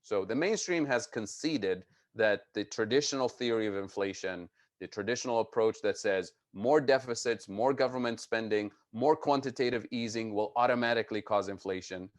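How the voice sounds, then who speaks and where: A man from Tunisia giving an explanatory economics presentation, speaking deliberately and emphatically and repeating phrases for stress. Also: fairly low pitch. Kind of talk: explanatory lecture with slides.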